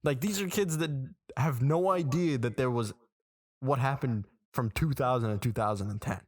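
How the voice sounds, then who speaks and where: A man's voice: medium pitch, moderate pace, dry recording. Young man chatting animatedly, dry sound. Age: 20s. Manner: animated storytelling, acts out quoted reactions. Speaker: podcast co-host. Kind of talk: casual chat. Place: small room.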